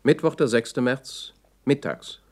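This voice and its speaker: A man's voice: medium pitch, expressive, slow. A man making terse scripted announcements as a chronicler in a radio play. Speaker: announcer of dates and times.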